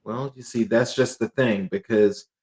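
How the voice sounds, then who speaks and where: Male host, mid-pitched, hesitant and casual. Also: steady tone, unhurried, a little mellow. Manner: brief backchannels, hesitant fillers. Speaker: interviewer, host. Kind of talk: conversational interview.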